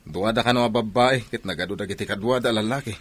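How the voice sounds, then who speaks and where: Man playing a fast-talking, indignant Ilocano villager. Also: lowish voice, breathy edge, no echo. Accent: Filipino, Ilocano. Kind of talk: Ilocano radio comedy drama acting.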